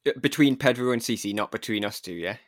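A man's voice: medium pitch, quick pace, dry recording, studio quality. A man with a Geordie accent co-hosting a podcast, bantering in a jokey, wry way. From North East England. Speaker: podcast co-host. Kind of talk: casual podcast chat.

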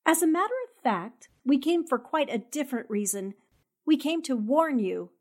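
A woman acts out a bold newspaperwoman in an old radio drama. Her high voice is very lively in pitch, assertive and insistent, firing out questions. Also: moderate pace. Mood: challenging. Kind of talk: 1940s radio drama acting.

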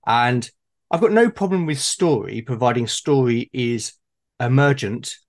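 A man's voice: mid-range voice, measured pace. A man in a casual discussion about gaming, speaking hesitantly and repeating words as he thinks. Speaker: tabletop gaming podcast speaker.

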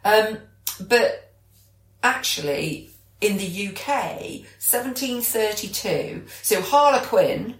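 A woman's voice: medium pitch, animated, slow pace. British woman chatting in an echoey room. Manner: chatty, explanatory. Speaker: podcast co-host. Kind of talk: casual chat. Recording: roomy, echoey sound.

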